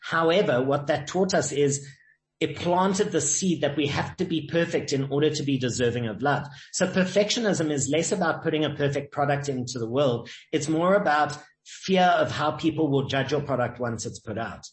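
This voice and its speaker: Male guest, upbeat and sure of himself, pitched a little high, roomy echo. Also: expressive, normal speed. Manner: confident, emphatic, uses sayings and stories. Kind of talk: motivational interview talk. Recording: echoey room.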